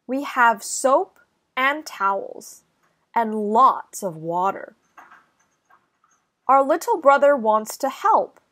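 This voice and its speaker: A young woman reading a children's story aloud, enunciating carefully and deliberately for young listeners. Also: on the high side, lively intonation, fairly bright tone. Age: young adult. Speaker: children's channel host and story reader. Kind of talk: slow, clear children's read-aloud.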